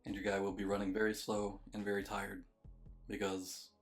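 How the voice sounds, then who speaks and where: Male game-guide narrator, low and flat in delivery, matter-of-fact and casual, with faint music underneath. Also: moderate pace. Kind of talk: casual video game walkthrough commentary.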